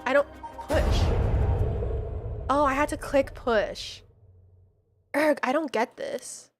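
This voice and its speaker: A woman with a fairly high voice streams a horror game over background music. She takes her time, reading the text aloud and mixing in casual, slangy reactions with a dramatic flair. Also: slow.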